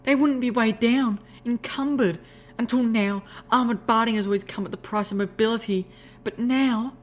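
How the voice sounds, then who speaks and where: A woman's voice: on the high side, big pitch swings, measured pace, pitched above the performer's own voice. A woman voicing a graceful, refined unicorn mare who speaks in a prim, ladylike way. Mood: enthusiastic. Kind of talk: audiobook reading of fan fiction.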